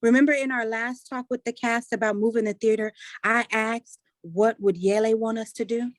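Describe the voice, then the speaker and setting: A woman's voice: a little high, steady tone, moderate pace, no echo, close to an everyday voice. Woman acting earnest adult daughter, close dry sound. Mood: pleading. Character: earnest adult daughter persuading her grieving mother. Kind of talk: staged play reading.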